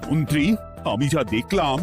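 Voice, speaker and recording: A man plays a trusting cartoon king with a lively, expressive voice, issuing decrees in a commanding tone. Clear music runs underneath. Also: on the high side, moderate pace, natural voice. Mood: regal. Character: middle-aged male king, trusting, commanding. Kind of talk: cartoon voice acting.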